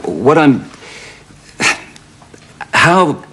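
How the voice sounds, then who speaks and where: A man's voice: a little high, big pitch swings, extremely slow, noisy background, heavily acted. A man in a sitcom role who talks hesitantly, stumbling and stammering over his words. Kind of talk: sitcom acting.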